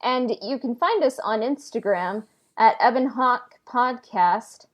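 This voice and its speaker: A woman's voice: on the high side, a little slow. A woman co-hosting a podcast, speaking casually and reading out show information. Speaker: podcast co-host.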